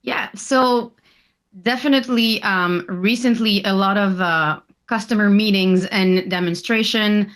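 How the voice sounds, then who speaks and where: Woman, medium pitch, hesitant pauses, video call. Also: a bit bright. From French Canada. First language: French. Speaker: guest. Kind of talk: casual interview answers.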